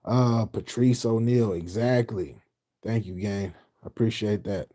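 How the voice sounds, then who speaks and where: A low-pitched man with a dark, mellow hosts a late-night livestream, reading comments aloud. He is middle-aged and from Houston, and he rambles in slang, restarting and repeating lines as he goes. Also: unhurried. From Houston, Texas. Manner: loose, slangy, repeats phrases, trails off. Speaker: livestream host reading chat.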